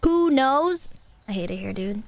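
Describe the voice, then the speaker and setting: A young woman chatting casually through a live game stream with a fairly high voice. She grumbles and jokes at her viewers, playful and quick to get exasperated. Her talk stays loose and unscripted. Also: expressive, creaky, no room sound. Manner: playful, easily exasperated, talks to chat.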